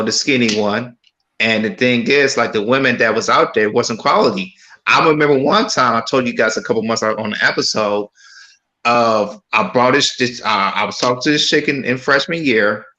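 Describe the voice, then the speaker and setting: A man's voice: medium pitch, normal speed, bright, forceful tone, roomy sound, highs cut off. A man who grew up in a small Michigan city, telling a personal story on a casual podcast panel, rambling and stammering through restarts. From Pontiac, Michigan.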